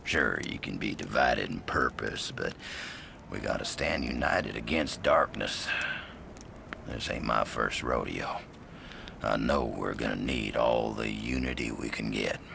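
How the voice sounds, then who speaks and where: An actor playing a laconic cowboy troubleshooter in a video game, with a gravelly voice, an American Southern accent and a folksy drawl. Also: male voice, extremely low pitch, unhurried, background noise, heavily acted. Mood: grave, foreboding. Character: laconic cowboy troubleshooter, last of his kind, grimly welcoming. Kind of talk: video game character monologue.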